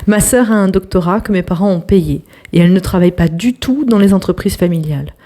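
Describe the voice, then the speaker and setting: A young woman reading an online post aloud as a storytelling host, with lively delivery, some hushed asides, and chatty comments to her viewers. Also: on the low side, fairly quick.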